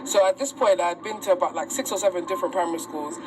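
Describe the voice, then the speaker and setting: A woman's voice: on the low side, rapid-fire, background noise. A woman, telling a personal story as an interview guest in a chatty, slangy, rambling way. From Uganda and Sierra Leone. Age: 20s. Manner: chatty, slangy, rambling with many likes and laughs. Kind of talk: casual storytelling. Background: loud background music.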